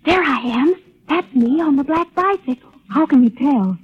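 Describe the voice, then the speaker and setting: A woman's voice: high-pitched, expressive, normal speed. An actress playing a chatty, gushing young woman in a 1930s radio advertisement. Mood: excited, gushing. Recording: thin, phone-like old radio recording.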